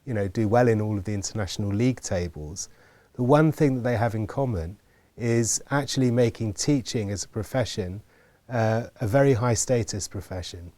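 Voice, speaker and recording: Low, dark-voiced man speaking measuredly. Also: no room sound. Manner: measured, hesitant with fillers and repeated words. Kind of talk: documentary interview.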